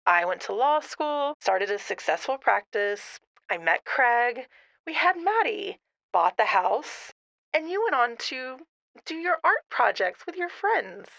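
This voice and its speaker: Woman doing chatty confident suburban mom for audio drama, crisp bright voice, persuasive, no room sound. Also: a little high, big pitch swings, a little slow, no echo, heavily acted, low-quality recording. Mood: breezy, persuasive. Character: chatty, self-assured suburban lawyer mom, pushy older sister.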